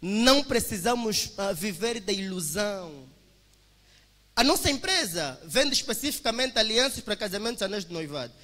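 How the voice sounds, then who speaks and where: A man's voice: sits high, big pitch swings, moderate pace, sharp tone, long reverb tail. A young man in his twenties with an Angolan Portuguese accent, giving an emphatic motivational testimony to a church congregation. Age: 20s. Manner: emphatic, preacher-like, addresses listeners as brothers.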